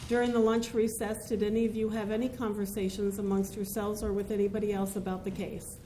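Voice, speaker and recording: Female judge, mellow tone, steady formal questioning, echoey courtroom with faint hiss. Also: medium pitch, fairly quick. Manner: formal, methodical instructions to jurors. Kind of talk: courtroom proceedings.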